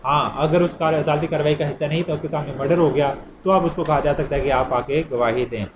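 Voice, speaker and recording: A man with a Pakistani accent teaches in a fairly high voice. He explains like a lecturer, conversational but deliberate whenever he reads out formal text. Clear noise runs behind him throughout the recording. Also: steady tone. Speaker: law lecturer. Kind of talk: explanatory lecture, reading statutes aloud and explaining them.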